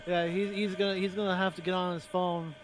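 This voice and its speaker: A man's voice: sits high, little pitch movement, brisk. A man bantering casually in an online roleplay game, with a teasing way of talking.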